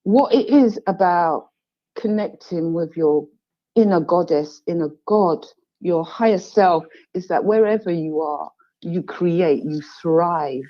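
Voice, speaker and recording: A woman guest poet speaking gently and reflectively about stillness and creativity. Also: on the low side, muffled recording. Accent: British. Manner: slow, calm, gentle, with fillers. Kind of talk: reflective spiritual talk.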